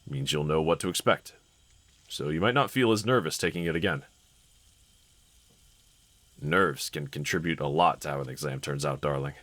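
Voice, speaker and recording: A man playing a gentle, encouraging husband in an intimate scripted roleplay audio, speaking in a considerate, reassuring manner. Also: very deep voice, normal speed, pauses often, no room sound. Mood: encouraging, supportive. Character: gentle, encouraging adult husband, calm and caring. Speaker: character audio voice actor.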